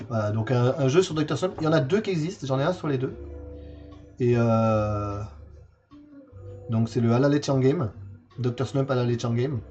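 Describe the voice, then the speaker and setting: A man's voice: medium pitch, moderate pace, pauses often, dark tone, lo-fi sound, faint music behind. Hesitant man in his forties streaming. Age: 40s. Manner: hesitant, full of fillers and repeated words. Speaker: streamer presenting a game collection. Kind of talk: casual livestream chat.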